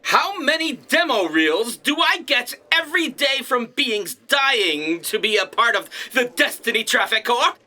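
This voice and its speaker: Bright, theatrical, imperious alien traffic director. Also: very animated, unhurried, few pauses, heavily acted. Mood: commanding. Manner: theatrical, imperious, sarcastic, booming commands. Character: pompous, exacting alien traffic director with a thousand legs. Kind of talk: comedic sci-fi audio drama acting.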